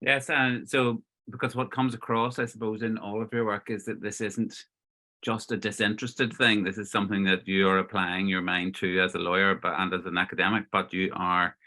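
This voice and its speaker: Bright-voiced man, low-pitched. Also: little pitch movement. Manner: halting, conversational. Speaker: interviewer and event host. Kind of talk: introduction and interview questions.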